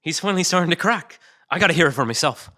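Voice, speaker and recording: Man acting a brash, sharp-voiced male detective. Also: a little high, lively intonation, quick pace. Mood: grumbling, defiant. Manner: brash, grumbling. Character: brash, foul-mouthed, petulant male police detective. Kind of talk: audio drama table read.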